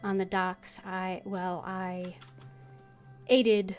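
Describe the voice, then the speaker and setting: A woman giving casual gameplay commentary, halting as she thinks aloud. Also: medium pitch, little pitch movement, faint music behind. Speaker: game streamer.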